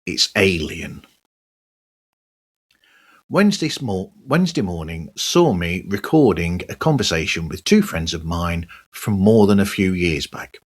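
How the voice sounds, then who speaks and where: A middle-aged man giving a conversational solo podcast monologue in measured, halting short phrases. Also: sits low, lively intonation, husky. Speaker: podcast host.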